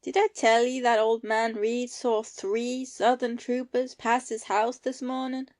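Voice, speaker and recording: A woman's voice: on the high side, level tone, unhurried, darkish tone, close to an everyday voice. A woman playing an ailing, easygoing farmer husband in an amateur audio play, using folksy rustic phrasing. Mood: mild, reasoning.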